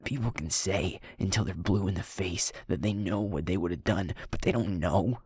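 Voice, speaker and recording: A man playing a sweet, shaken young werewolf boyfriend in an intimate audio roleplay, halting and stammering, with a breathy, husky voice. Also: low voice, dry recording, studio quality. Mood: angry, indignant. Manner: halting, hushed, stammering, sighing.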